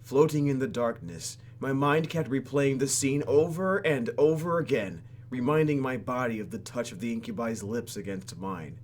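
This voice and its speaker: A man's voice: mid-range voice, normal speed, few pauses, darkish tone, slight background noise. A man reading visual novel text aloud for a let's play and reacting to it in a theatrical, exaggerated way. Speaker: YouTube gamer.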